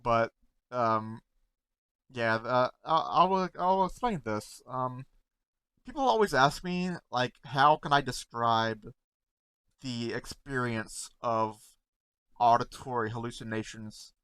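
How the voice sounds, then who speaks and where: A man in casual talk to camera, halting and stuttering, repeating words and often trailing off softly, close to the mic at home. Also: medium pitch, clear, resonant tone, dry recording, rough recording. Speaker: content creator reacting to memes.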